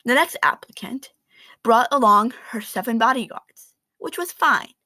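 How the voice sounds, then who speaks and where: A woman reading a children's picture book aloud in an expressive, animated storytelling manner with dramatic emphasis. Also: a little high, a little slow, no room sound.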